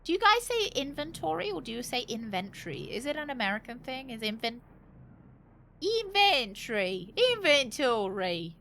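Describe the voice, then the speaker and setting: A woman chats through a game for her stream with a high, very lively voice. She thinks aloud, rambles and is cheeky and sweary. There is faint noise behind her bright delivery. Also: moderate pace. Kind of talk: casual live game commentary, thinking aloud to chat.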